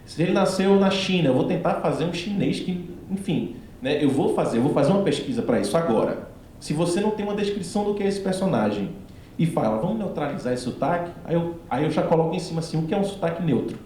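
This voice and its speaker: A man's voice: on the high side, fast talker. A man from Recife with a strong Pernambuco accent, telling a story animatedly in a casual discussion after a film screening. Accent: Pernambuco Northeastern Brazilian. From Recife, Pernambuco, Brazil. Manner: animated storytelling, laughs often. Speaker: actor, panel guest. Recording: very echoey hall, some noise.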